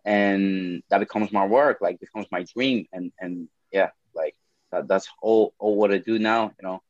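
A man's voice: deep voice, unhurried, no echo, dull audio. A young man from Brazil with a Brazilian Portuguese accent, speaking English as a second language in a casual interview, often repeating words and swearing casually. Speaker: MMA fighter.

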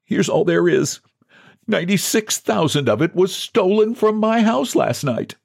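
Man performing haggard desperate man, timid, wailing, pleading, high-pitched, literary audiobook figure. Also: animated, unhurried, vocal fry, a little mellow, exaggerated voice. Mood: despairing.

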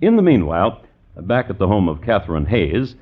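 An actor playing a wry crime storyteller, narrating in droll, deliberate vintage radio style. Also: male voice, low-pitched, sing-song, breathy edge, exaggerated voice. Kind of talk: 1950s radio scripted narration. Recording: dull old radio recording. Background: plucked guitar music.

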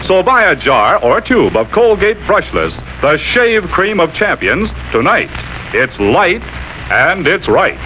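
A man's voice: sits high, sing-song, measured pace. An American man announcing on 1940s radio, with a polished, salesmanlike delivery and carefully stressed words. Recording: muffled, noisy 1940s radio recording.

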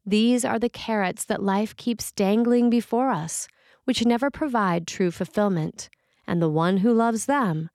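A woman reads a prepared sermon in a measured, deliberate manner. Her voice sits at a medium pitch, and the recording is dry, with very little room sound. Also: darkish tone, dry recording. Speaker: preacher reading a written sermon for a podcast. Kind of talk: scripted sermon reading.

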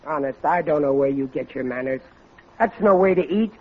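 A man's voice: a little high, normal speed, lightly acted. An actor playing a blustering, thickheaded American husband and father who speaks in folksy bad grammar, in 1940s radio sitcom style. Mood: pompous, grumbling. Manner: folksy, mangled grammar, indignant. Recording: dull, noisy old radio recording.

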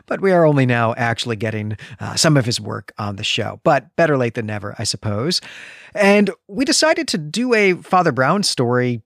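A man's voice: medium pitch, sing-song, normal speed, vocal fry, a bit bright, dry recording, clean studio sound. A man co-hosting a literary podcast in a chatty, explanatory, digressive conversational way. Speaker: podcast co-host.

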